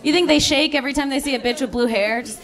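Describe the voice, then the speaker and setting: Woman comic with a deadpan delivery. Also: high voice, fast, a crowd in the background. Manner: deadpan dark punchlines, chatty asides. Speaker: stand-up comedian. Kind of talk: stand-up comedy set. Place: comedy club stage before a live audience. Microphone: handheld stage mic. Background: audience laughter.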